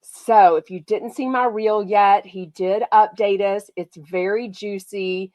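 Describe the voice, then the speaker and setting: A woman from Texas, hosting a casual gossip livestream. She has a slight cold that makes her sound nasal, and she talks in a bubbly, excitable way. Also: mid-range voice, slow, no echo. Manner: bubbly and excitable, lots of "like" and "oh my gosh". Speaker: podcast host.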